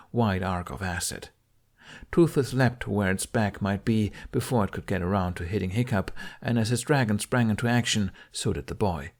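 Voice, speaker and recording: A man's voice: fairly low pitch, darkish tone. A man reading an audiobook of fantasy fiction as a dramatic, intense narrator.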